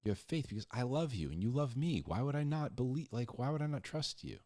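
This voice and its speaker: A man's voice: medium pitch, lively intonation, fast, a little mellow. A man giving casual, conversational Bible teaching in slangy, informal speech, repeating words for emphasis and acting out little dialogues. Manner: acts out imagined back-and-forth dialogues.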